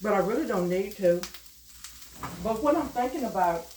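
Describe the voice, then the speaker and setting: A middle-aged woman talks her viewers through a recipe at her stove, calling them y'all in a chatty, homey way. Her voice is stuffed up and a little nasal from a lingering cold. The kitchen sounds roomy, and a loud sizzle and frying rise from the pan behind her. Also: medium pitch, lively intonation, normal speed, heavy background noise. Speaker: cooking channel host. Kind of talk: casual cooking vlog.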